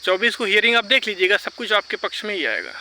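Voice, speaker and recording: High, very bright, insistent man. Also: big pitch swings, quick pace, slight background noise, poor audio. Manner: insistent, repeats points; mixes English words into speech. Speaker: vlogger advising exam candidates. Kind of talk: informal argumentative advice talk.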